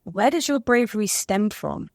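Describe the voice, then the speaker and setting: A woman's voice: medium pitch, very animated, moderate pace, creaky, a little mellow, no echo, studio quality. A woman hosting a podcast interview, affirming her guest and building long, searching questions. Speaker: podcast host.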